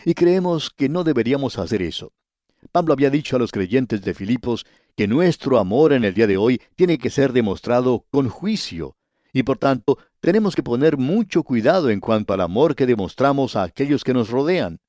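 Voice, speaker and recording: A man delivers a radio Bible lesson in a medium-pitched voice, recorded close and dry. His delivery is measured and instructive, like a teacher explaining to one listener. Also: lively intonation, mellow, no echo. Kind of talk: radio Bible study sermon.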